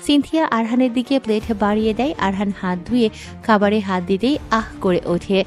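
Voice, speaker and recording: A woman's voice: a little high, brisk, darkish tone, faint music behind. A woman reading scripted story narration as the narrator.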